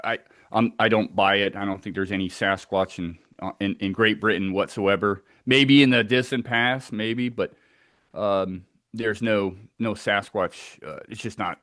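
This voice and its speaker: A man from Scotland with a Scottish accent, a guest chatting casually over a video call in a relaxed way. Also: fairly low pitch, normal speed, no echo, clean studio sound. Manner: relaxed, chatty, with fillers and laughs. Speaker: guest researcher.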